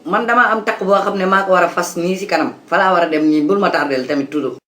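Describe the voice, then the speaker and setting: A man with a Senegalese accent voicing a pious, patient imam who lectures and mediates in a comedic cartoon, with animated, preachy delivery. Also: high-pitched, fast, echoey room. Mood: earnest lecturing. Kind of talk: comedic cartoon dubbing in Wolof.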